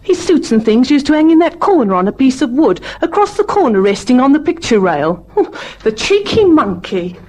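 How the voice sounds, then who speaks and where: A tart boarding-house landlady in an old radio drama. Her voice is fairly high and swings expressively, with an offhand, easily affronted manner. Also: very animated, normal speed, hardly any pauses, darkish tone. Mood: indignant. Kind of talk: vintage radio drama acting.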